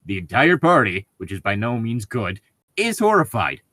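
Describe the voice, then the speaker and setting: A man's voice: medium pitch, sing-song, normal speed, sharp tone, no echo. A man reading stories aloud as a co-host, with casual joking commentary.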